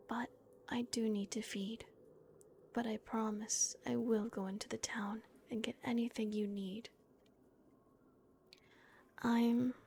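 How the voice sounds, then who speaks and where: Woman whispering as a gentle vampire guardian. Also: a little high, a little hiss or hum. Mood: tender, caring. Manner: soft unvoiced whisper, hesitant. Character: gentle, protective female vampire caretaker. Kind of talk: whispered ASMR roleplay.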